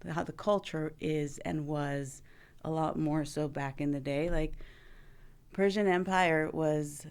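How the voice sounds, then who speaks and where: A woman therapist hosting a relaxed conversation, her voice sitting low with a gravelly fry. She talks in a loose, chatty way, peppered with "like" and quick laughs. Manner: chatty, heavy use of "like", laughs often. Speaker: host, marriage and family therapist. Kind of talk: casual podcast conversation.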